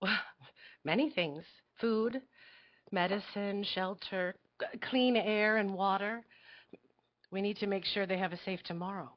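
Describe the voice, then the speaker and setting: A woman's voice: mid-range voice, measured pace, long pauses, no room sound, highs cut off, close to an everyday voice. Woman playing an earnest, hesitant young girl activist. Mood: shy, hopeful. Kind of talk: live table read acting.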